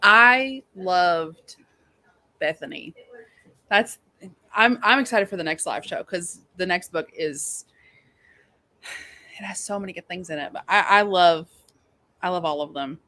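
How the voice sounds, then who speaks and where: A woman's voice: fairly low pitch, a little slow, long pauses, sharp tone. A woman co-hosting a live readalong show, chatting about books in an informal, slangy, emphatic way. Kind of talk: casual book chat.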